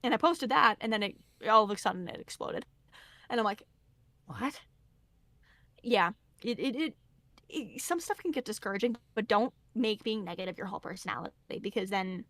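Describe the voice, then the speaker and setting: A woman's voice: mid-range voice, lively intonation, normal speed, pauses often, sharp tone, no room sound. A woman guest talking casually and earnestly, giving advice on content creation and laughing easily. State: losing her voice. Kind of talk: casual podcast conversation.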